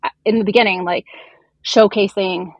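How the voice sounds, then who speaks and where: A woman's voice: mid-range voice, fairly even intonation, normal speed, no echo, dull audio. Chatty woman in a casual interview. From immigrant raised in Canada and the US. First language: Turkish. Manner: talkative, informal. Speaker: podcast guest, beer content creator.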